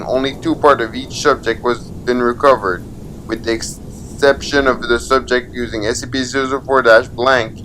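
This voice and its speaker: A man narrates a scripted document in a formal, matter-of-fact manner. His voice sits at an average male pitch, and he trips slightly over the odd word. A low rumbling noise runs clearly behind the recording. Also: a bit bright, poor audio. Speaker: channel narrator reading a document aloud. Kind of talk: scripted narration of a fictional archive log.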